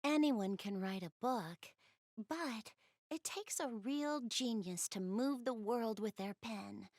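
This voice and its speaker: A woman's voice: a little high, takes it slowly, darkish tone, dry recording, clean studio sound. A woman voicing a shy, bookish young goddess in a video game, speaking hesitantly and earnestly. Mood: dejected, pensive. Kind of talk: video game character voice acting, intimate one-to-one dialogue.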